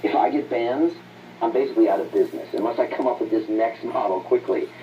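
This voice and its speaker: A man's voice: medium pitch, fast talker, warm tone. Man, impassioned interview talk, earnest, emphatic repetition, far from mic, dull replayed sound. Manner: earnest, emphatic, repeats phrases for weight. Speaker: interview guest. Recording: distant, muffled, noisy playback through a speaker.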